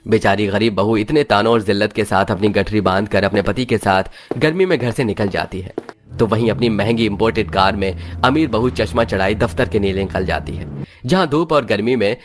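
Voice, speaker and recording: A man's voice: fairly low pitch, brisk, a little hiss or hum. A man narrating a scripted moral story in a dramatic storytelling style.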